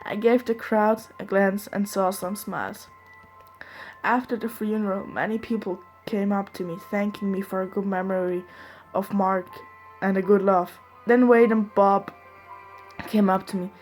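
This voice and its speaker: A woman's voice: mid-range voice, fairly even intonation, unhurried, a little mellow. A young woman reading fanfiction aloud in the first person, sometimes giggling and breaking off to joke, with faint music behind her. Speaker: fanfiction reader.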